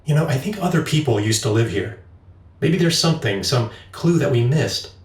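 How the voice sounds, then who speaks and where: A man's voice: mid-range voice, lively intonation, normal speed, close to an everyday voice. A man acting in an audio drama as an earnest, practical, righteous man with a matter-of-fact manner. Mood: earnest, prodding. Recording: roomy echo, music underneath.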